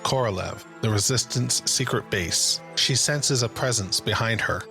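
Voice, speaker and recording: A man's voice: medium pitch, unhurried, vocal fry. A man delivering dramatic, scripted present-tense narration for an audio drama. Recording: narration under loud music.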